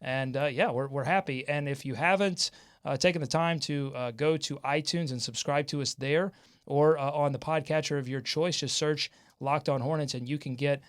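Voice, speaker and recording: A man hosting a live sports talk show in a casual way, often halting as he searches for words. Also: on the high side. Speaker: sports podcast host.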